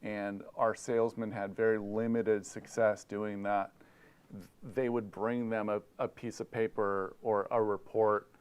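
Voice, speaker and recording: A man's voice: fairly low pitch, clear, resonant tone. A middle-aged man from Hawaii giving a presentation at a conference, speaking with many fillers and repeated words into a lapel mic. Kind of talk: presentation talk. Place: conference room, indoors.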